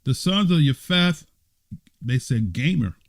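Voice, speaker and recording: A man's voice: fairly high pitch, animated, measured pace, vocal fry. A man leading a live-streamed Bible study, talking conversationally and checking in with listeners after nearly every point. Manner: conversational, asks for amens, frequent "right?" and "okay"; muttering while fiddling with stream settings. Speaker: Bible teacher.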